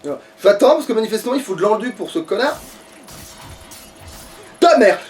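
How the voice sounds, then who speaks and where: A man's voice: high voice, animated, moderate pace, darkish tone, distant mic. A man giving casual gaming commentary to a co-player, foul-mouthed and grumbling. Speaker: let's player.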